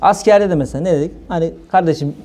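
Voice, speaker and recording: A man gives an informal religious sermon in a colloquial, animated way, asking rhetorical questions. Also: fairly high pitch, quick pace. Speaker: preacher. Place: small room indoors.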